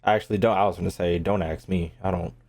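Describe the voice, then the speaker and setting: A man's voice: low-pitched, steady tone, quick pace, vocal fry, darkish tone. A man chatting casually to his livestream audience while gaming, using slang and swearing freely. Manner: slangy, swearing, talking to chat. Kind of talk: casual gaming stream chat. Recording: game music behind the voice. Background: video game music and sounds.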